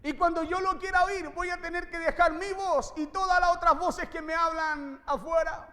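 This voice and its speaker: A male preacher delivers an impassioned sermon in a very high, raised voice. He exhorts his listeners directly, hammering phrases home through repetition. Long reverb from the hall trails his words. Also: fairly even intonation, moderate pace, sharp tone. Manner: exhorting, repeats phrases for emphasis. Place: large reverberant room, preaching to a congregation.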